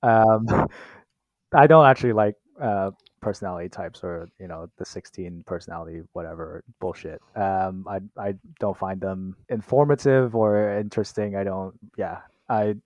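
A man's voice: low voice, moderate pace, darkish tone, no echo. A young man co-hosting a podcast in casual chat, frank and skeptical, with fillers and restarts. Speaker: podcast co-host.

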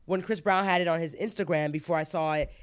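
A woman co-hosting a casual group chat, telling things in a slangy, animated way. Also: fairly low pitch, fast, clean tone. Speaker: podcast co-host.

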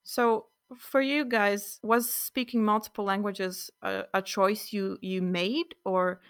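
Young female podcast host, easygoing, smooth tone, dry close sound. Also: mid-range voice, steady tone, slow pace, dry recording. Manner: friendly, loosely improvised with fillers. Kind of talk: casual podcast chat.

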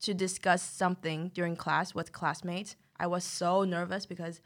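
Young woman from taiwan, mandarin is her first language, low voice, calm and thoughtful. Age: young adult. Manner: thoughtful, measured, uses "like" as filler. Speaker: podcast guest. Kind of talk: casual chat.

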